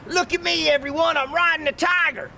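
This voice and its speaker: A man's voice: steady tone, a little fast, pushed and bright, heavily acted. A man voicing an eager, impressionable ten-year-old boy in a children's storybook, earnest in manner. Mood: eager, excited. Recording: distant mic, rumbly background noise.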